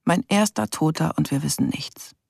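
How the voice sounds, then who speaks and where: Creaky-voiced brooding female investigator. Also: sits low, moderate pace, a little mellow, no room sound, clean studio sound, heavily acted. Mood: frustrated, brooding. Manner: reflective, questioning, bitter. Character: brooding young investigator troubled by a first murder case. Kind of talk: naturalistic radio drama monologue.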